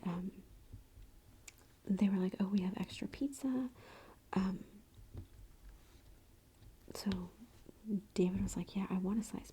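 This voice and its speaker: A mother whispering a long, chatty, gossipy story in a soft unvoiced whisper, full of "like" and asides. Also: medium pitch, a little hiss or hum, rough recording. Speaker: parent telling a story.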